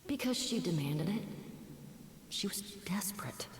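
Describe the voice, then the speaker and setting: An actress playing a gentle, remorseful muse in a video game drama, speaking solemnly with breathy, half-whispered phrase endings. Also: female voice, fairly low pitch, animated, measured pace. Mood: earnest, regretful. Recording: echoey reverberant game audio with hiss.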